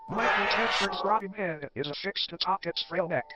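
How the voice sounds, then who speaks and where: A man's voice: sits high, moderate pace. A man delivering scripted narration in the style of a horror documentary. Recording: dull lo-fi audio under loud music. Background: loud background music.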